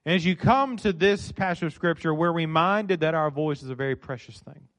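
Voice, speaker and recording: A middle-aged man preaching a sermon in a church, with a dynamic delivery that swings from loud to soft. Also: a little high, brisk. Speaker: pastor. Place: church sanctuary.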